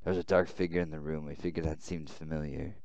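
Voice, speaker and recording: A man reading a visual novel aloud as a weary, confused young male prisoner, subdued and halting. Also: a bass voice, level tone, darkish tone, no echo, voice pushed down. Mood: groggy.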